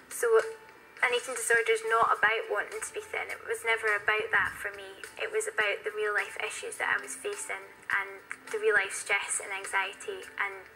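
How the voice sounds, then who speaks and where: A British woman in her twenties speaks in a bright, forward voice with little rise or fall in pitch. She gives a candid, reflective personal account in the manner of a documentary interview, with music playing behind her. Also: poor audio. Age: 20s. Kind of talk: personal testimony.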